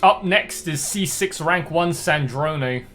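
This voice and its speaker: A man's voice: a little high, slow, a bit bright. A man giving casual live game stream commentary in a blunt, slangy, sweary way. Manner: blunt, profane, slangy ranting. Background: faint game music.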